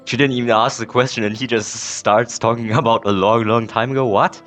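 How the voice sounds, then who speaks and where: A man's voice: medium pitch, steady tone, a little fast, fairly bright tone, faint music behind. A man giving casual, rambling and reactive let's play commentary as a gaming streamer.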